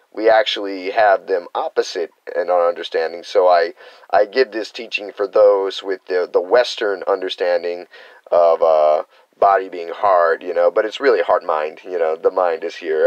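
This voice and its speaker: American guy rambling through a spiritual teaching, low voice, dull dry lo-fi sound. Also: no echo. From United States. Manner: earnest, meandering, acts out quoted reactions. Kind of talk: unscripted spiritual teaching monologue.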